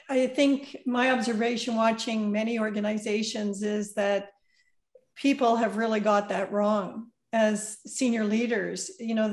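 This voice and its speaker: A middle-aged woman co-hosting an interview over a video call, giving thoughtful, measured comments. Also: mid-range voice. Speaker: co-host. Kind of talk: conversational interview. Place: home office. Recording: roomy video call audio.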